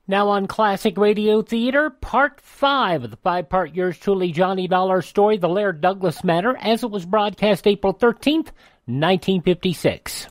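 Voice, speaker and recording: Female program host: measured, clear introduction, dry close sound. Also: medium pitch, dry recording. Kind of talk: announcing radio program.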